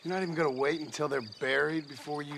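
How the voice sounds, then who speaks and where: An actor playing a tough Old West gunslinger in a video game, terse and dryly sarcastic, with a gravelly American voice. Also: male voice, on the high side, steady tone, quick pace, background noise. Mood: sardonic, stern. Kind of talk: video game cutscene acting.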